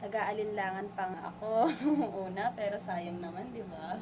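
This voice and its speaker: A woman playing an earnest young provincial student and affectionate daughter in an amateur radio drama, reassuring and gently teasing. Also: medium pitch, normal speed, background noise, natural voice. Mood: friendly, candid.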